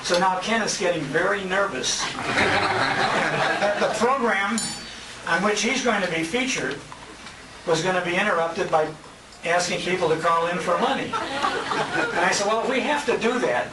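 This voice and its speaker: Echoey, distant, high-pitched American male emcee. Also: measured pace, long pauses. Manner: anecdotal, recounting conversations in quoted dialogue. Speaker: emcee and radio show host. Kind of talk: informal tribute speech at a dinner. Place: restaurant banquet room. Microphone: handheld compact camera at a distance. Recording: echoey, noisy room recorded on handheld camera.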